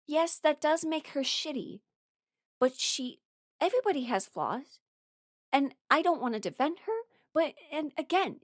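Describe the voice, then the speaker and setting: A woman giving casual, rambling opinion commentary as a host, candid and quick to soften her blunt takes with apologies. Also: high-pitched, no echo, clean studio sound. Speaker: host ranking audio drama characters.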